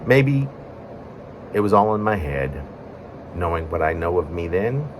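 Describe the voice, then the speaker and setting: A man with a fairly low voice reads a poem as if telling a story. His pitch moves in wide, lively swings across short phrases broken by pauses. Some background room noise sits under the recording. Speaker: poet reading own poem. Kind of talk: spoken-word poetry reading, conversational storytelling.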